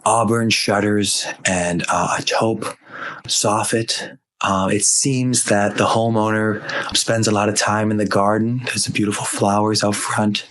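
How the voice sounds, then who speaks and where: A man's voice: on the low side, little pitch movement, a little slow, a bit bright. A man voicing a self-satisfied, long-winded firefighter giving over-detailed, repetitive radio reports in a comedy rant. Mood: earnest, oblivious.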